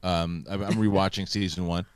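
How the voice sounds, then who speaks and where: A man's voice: deep voice, moderate pace, clear, resonant tone, no room sound. A man in his thirties hosting a casual podcast chat, talking eagerly with many restarts. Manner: animated, talks with many restarts. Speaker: podcast host.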